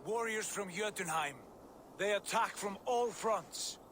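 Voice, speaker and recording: Tyr, resolute loyal war god. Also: male voice, sits high, unhurried, fairly bright tone, a little hiss or hum. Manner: earnest, resolute. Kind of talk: video game cutscene acting.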